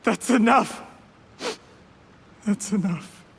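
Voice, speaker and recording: Ambitious young schemer, echoey hall. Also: male voice, sits high, very slow, vocal fry, heavily acted. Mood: distraught. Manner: earnest, self-justifying. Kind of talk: video game voice acting. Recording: echoey hall, faint ambient noise.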